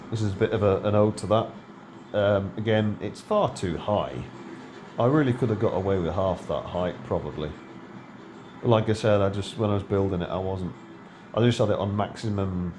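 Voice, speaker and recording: A man's voice: on the low side, noisy background. A middle-aged man giving casual, rambling gameplay commentary, full of ums and self-corrections. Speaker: game video creator.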